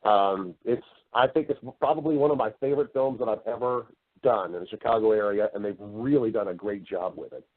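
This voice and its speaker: Thin lo-fi phone sound, man, lowish pitch, casual interview guest, talkative, explanatory. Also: fairly quick. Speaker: interview guest, voice-over artist and actor. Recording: narrow, lo-fi phone-like line.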